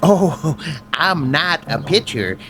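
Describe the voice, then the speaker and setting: An actor playing a polite, hesitant old gentleman, a player's agent in a radio drama, with mild, halting speech. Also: on the high side, sing-song, background noise. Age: older.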